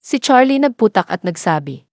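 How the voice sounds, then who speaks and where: A woman's voice: mid-range voice, sing-song, moderate pace, no echo, studio quality. A woman with a Filipino accent narrating a scripted children's bedtime story. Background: music bed.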